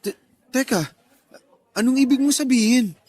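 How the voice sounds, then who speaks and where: A man's voice: extremely high pitch, normal speed, a little mellow, slightly rough, dry recording. A man playing an earnest, lovelorn young man in a Tagalog radio drama, pleading emotionally. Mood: shocked, pleading.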